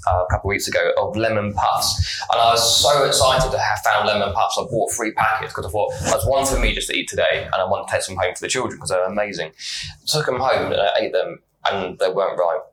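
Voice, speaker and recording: A man's voice: lowish voice, fast, a bit bright, reverberant, slight background noise, rough recording. A man hosting a podcast, chatty and jokey, often breaking into laughter. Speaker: podcast host. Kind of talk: casual podcast chat.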